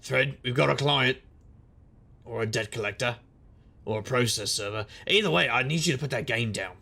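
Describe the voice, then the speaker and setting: Man playing a gravelly, husky, world-weary detective. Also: mid-range voice, normal speed, sharp tone. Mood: dry, weary. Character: wry, world-weary male supernatural private detective. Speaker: lead actor and narrator. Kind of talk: first-person noir detective audio drama narration.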